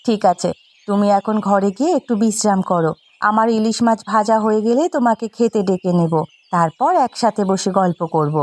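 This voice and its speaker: A woman voicing a brisk, expressive village housewife in a folk-tale cartoon. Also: medium pitch, no room sound, natural voice. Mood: caring. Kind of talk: Bengali cartoon dialogue dubbing.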